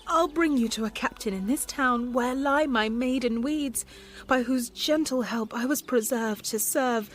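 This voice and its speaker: High-voiced earnest young heroine. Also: female voice, a bit bright. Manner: earnest, careful verse delivery. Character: shipwrecked young woman disguised as a boy, devoted. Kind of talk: radio drama Shakespeare acting. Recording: faint background ambience.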